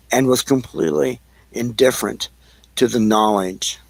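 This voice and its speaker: A man narrating an audio play in an amateur, somewhat halting reading, with a gravelly, husky voice. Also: mid-range voice, no echo, poor audio.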